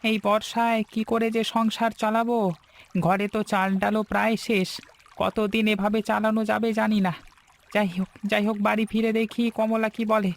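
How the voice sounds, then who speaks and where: An actor as a weary, high-voiced older fisherman. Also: flat delivery, normal speed, gravelly. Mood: resigned. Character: weary poor older fisherman, worried but devoted husband. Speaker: cartoon voice actor. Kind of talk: dramatic cartoon dialogue in a moral folk tale.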